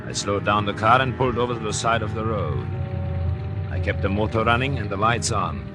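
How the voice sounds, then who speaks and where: An actor playing a cool, calculating psychiatrist, articulate and deliberate, in a 1940s radio suspense drama. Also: male voice, on the low side, over music, natural voice. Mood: brooding suspense. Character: cool, calculating male psychiatrist narrating his own story.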